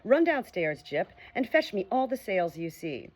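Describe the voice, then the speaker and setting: A woman voicing a kind, calm and polite man, a doctor, in a storybook reading. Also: medium pitch, moderate pace. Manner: mild and polite.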